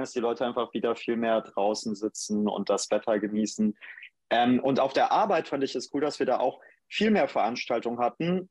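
A young man co-hosts a podcast in a relaxed, friendly tone. His voice sounds dry and close to the microphone, with no room echo. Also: medium pitch. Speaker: podcast co-host. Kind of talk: casual podcast chat.

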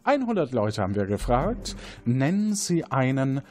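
A man's voice: mid-range voice, very animated, normal speed, darkish tone, faint music behind. A man moderating an interactive audio quiz game as its host.

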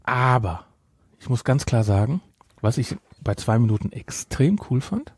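Husky middle-aged man, dry close sound. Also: mid-range voice, sing-song, measured pace, a little mellow, no room sound. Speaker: podcast host. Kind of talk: casual podcast discussion.

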